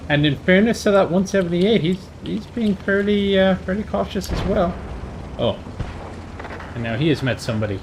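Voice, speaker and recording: A man's voice: sits high, expressive, normal speed. A man giving casual live commentary as he plays a video game, in a dry, wry, half-to-himself manner. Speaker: host of a video game stream. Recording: clear background engine and game noise. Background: video game tank engine and battle sounds.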